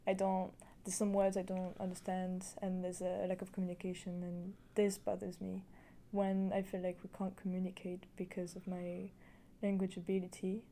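Flat, mellow-voiced woman interviewer. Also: fairly low pitch, slight background noise. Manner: measured, searching for words. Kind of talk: casual conversation.

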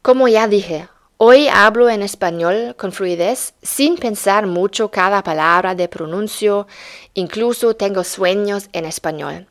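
A young German woman, her native German still shaping her Spanish, tells her own learning story with earnest candor. She then turns it into a steady pitch for her courses, in a voice of ordinary middle pitch. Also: a little slow. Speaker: German teacher. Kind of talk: scripted course introduction.

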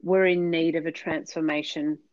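A woman with a lowish voice talks through her business ideas in an earnest, explanatory way, dotting her sentences with fillers. The sound is dull and lo-fi, with a close, dry quality and no room around it. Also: level tone, moderate pace, no echo. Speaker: podcast guest, business consultant. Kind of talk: conversational interview answers.

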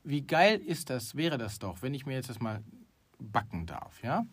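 A man's voice: medium pitch, big pitch swings, moderate pace, husky. A middle-aged man co-hosting a casual talk show, opinionated and emphatic, with many restarts. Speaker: podcast co-host. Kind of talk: casual chat.

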